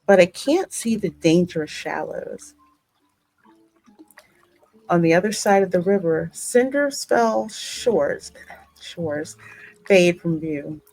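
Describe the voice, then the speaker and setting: A woman's voice: on the low side, darkish tone, low-quality recording. A woman hosting a gaming channel, narrating a story game and adding her own spoken asides. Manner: reads narration slowly, reacting aloud in asides. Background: music behind the voice.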